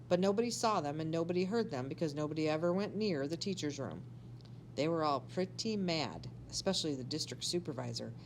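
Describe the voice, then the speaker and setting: A woman with a slightly low voice reads a children's story aloud in an expressive, animated way. She sounds like a teacher telling a tale to pupils. There is faint noise and hum in the recording. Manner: animated, acts out dialogue.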